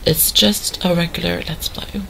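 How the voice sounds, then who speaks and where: A hoarse woman giving chatty, rambling commentary over a game she plays. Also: lowish voice, steady tone, moderate pace, fairly bright tone, slight background noise, rough recording. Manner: chatty, rambling, full of fillers. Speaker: gamer. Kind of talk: let's play commentary.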